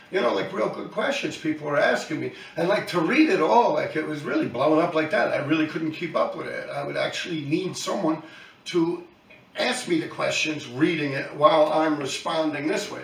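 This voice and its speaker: A man's voice: a little high, gravelly, fairly bright tone, roomy sound, far from the mic, poor audio. A man, talking bluntly and profanely to his viewers in a rambling monologue. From New York City. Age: 50s. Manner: blunt, profane, talks in loose run-on asides. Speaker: YouTube channel host, graffiti writer.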